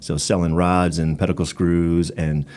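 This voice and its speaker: A man's voice: low voice, little pitch movement, measured pace, darkish tone. A middle-aged man telling stories conversationally as a podcast guest, talkative and matter-of-fact. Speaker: podcast guest, former military physician assistant. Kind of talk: conversational storytelling.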